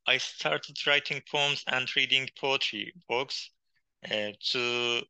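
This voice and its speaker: A man from Turkey's far east, with as his in English, answering interview questions in a halting way with many pauses and fillers. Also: medium pitch, steady tone, gravelly, pushed and bright, clear, resonant tone, dry recording. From Hakkari region of Turkey, Kurdish. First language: Kurdish. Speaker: guest, poet and journalist. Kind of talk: interview conversation.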